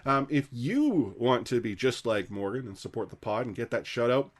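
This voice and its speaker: A man hosting a podcast, reading out support and community plugs in a steady manner. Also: mid-range voice, normal speed. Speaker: podcast host.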